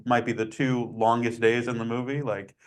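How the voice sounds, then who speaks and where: A man's voice: medium pitch, little pitch movement, normal speed. A man interviewing film actors, asking questions in a chatty, enthusiastic way and often using fillers.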